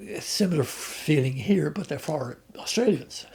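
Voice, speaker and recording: An older man with an Irish accent, talking about his life at an unhurried pace, often pausing to restart his sentences. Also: a little high, lively intonation, gravelly, darkish tone. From Ireland. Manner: hesitant, with many restarts and fillers. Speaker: interviewee. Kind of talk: reflective conversational storytelling.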